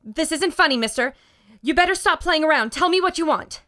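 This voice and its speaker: A woman's voice: sits high, animated, a little fast, pushed and bright. A woman playing a bold, plucky adventurer in a radio drama, earnest and assertive. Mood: tense, determined.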